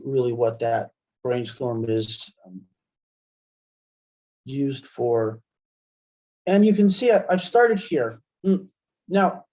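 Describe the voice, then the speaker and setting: A middle-aged American man presenting an online software lesson step by step, in an informal, halting way, often checking in with "right?". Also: medium pitch. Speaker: webinar presenter and instructor. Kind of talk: software tutorial, explaining step by step. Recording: muffled, dull computer-call audio.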